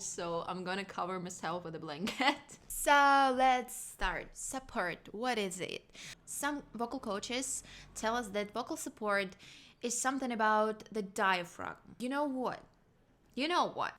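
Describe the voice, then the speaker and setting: A young woman with a non-native English accent speaks slowly as a vocal coach. She explains in a chatty, emphatic way and often repeats her key points. She sounds like she is running a casual video lesson. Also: medium pitch, fairly bright tone. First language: not English.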